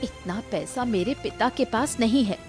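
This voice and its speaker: A woman's voice: a little high, normal speed, a little mellow, soft background music, close to an everyday voice. A woman playing a defiant, wronged young wife from a poor family in a cartoon moral story. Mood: pleading, firm.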